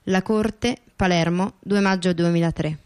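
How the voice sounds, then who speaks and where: A woman giving a formal, measured scripted reading in the manner of an official document, as a radio theatre performer. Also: lowish voice, steady tone, a little mellow, clear, resonant tone. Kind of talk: scripted dramatic reading of legal text.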